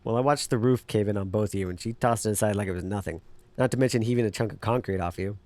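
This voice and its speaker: A man's voice: lowish voice, quick pace, darkish tone, no room sound, lightly acted. A man acting in an audio drama as a wary, blunt underground tech leader who talks in a terse, dry, probing way. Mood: skeptical.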